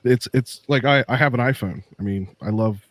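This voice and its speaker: A man's voice: medium pitch, normal speed, no echo. A man in casual chat, explaining streaming settings with many restarts. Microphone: desk mic through a mixer.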